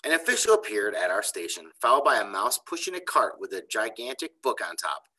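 A middle-aged man reads aloud as an elementary teacher would to children. His voice is bright and forceful, and it rises and falls a lot as he tells the story. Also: fairly low pitch, very animated, fairly quick, poor audio. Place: bright indoor school room. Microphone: computer webcam on a video call.

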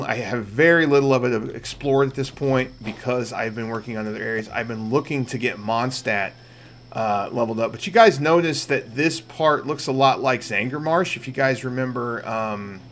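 Gravelly middle-aged man streaming. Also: medium pitch, fairly bright tone, a little hiss or hum. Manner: thinks aloud, addresses viewers informally. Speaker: game streamer. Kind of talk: casual live gameplay commentary.

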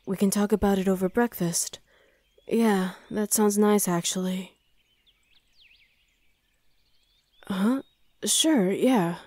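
A woman playing a flustered, gentle young demon hell knight in an intimate ASMR roleplay, hesitant and soothing, often slipping into a breathy whisper. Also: medium pitch, a little slow, no echo, lightly acted. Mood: shy, warm.

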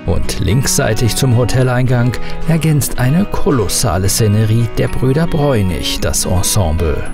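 A man's voice: medium pitch, animated, measured pace, over music. A man reading a scripted documentary voice-over with a light, wry touch. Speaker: narrator.

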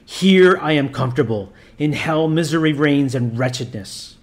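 A man voicing a snarling, defiant male demon in an audiobook reading, loud and slow. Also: on the high side, expressive.

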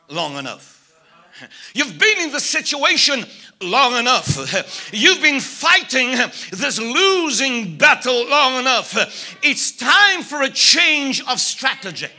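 An older man from South Africa, a native Afrikaans speaker with a South African accent, preaching from a church pulpit, moving from soft asides to loud, emphatic preaching. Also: very high-pitched, very animated, takes it slowly. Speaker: evangelist. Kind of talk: sermon. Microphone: pulpit mic, medium camera.

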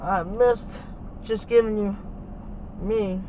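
A man's voice: sits high, fairly even intonation, slow pace, dull audio. A man talking casually to his viewers while driving a truck, with hype slang and swearing, recorded on a dashboard camera. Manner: loud hype talk with slang and profanity. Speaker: vlogger. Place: inside a moving truck. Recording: loud road and engine noise.

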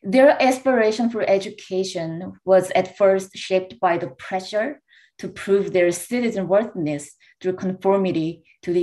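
A woman in her thirties whose first language is Korean, reading prepared panel remarks in a measured, formal way over a video call. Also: medium pitch, darkish tone. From South Korea. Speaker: panelist, researcher.

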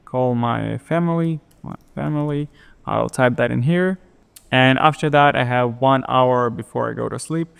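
A young man from Ukraine with a Ukrainian accent, calmly explaining his daily routine in a relaxed screen-share tutorial with fillers. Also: mid-range voice, normal speed, vocal fry, clear, resonant tone, long reverb tail. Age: young adult. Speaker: web designer and YouTuber. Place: indoors by a large window.

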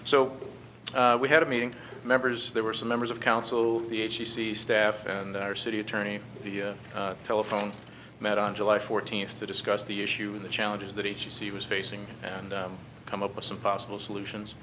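A middle-aged American man delivers a formal briefing in a measured, halting way. His voice sits low and barely moves in pitch. There is clear noise over the meeting-room recording. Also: completely flat delivery. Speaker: presenter to a city council. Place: council chamber, standing at a podium. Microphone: podium gooseneck microphone.

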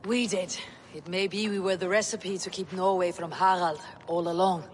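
An actress playing a proud, steadfast Viking woman warrior in a video game, speaking in a measured, formal way. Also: fairly low pitch, fairly even intonation, a crowd in the background, lightly acted. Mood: proud, resolute. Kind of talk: dramatic game cutscene acting.

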